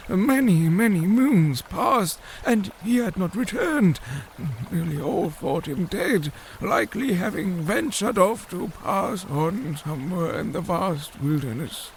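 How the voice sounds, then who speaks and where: An actor playing an elderly, long-winded fish-man scholar in an audio drama, with a gravelly voice and a halting, formal storytelling manner. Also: sits high, expressive, a little hiss or hum, exaggerated voice, low-quality recording. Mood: reminiscing.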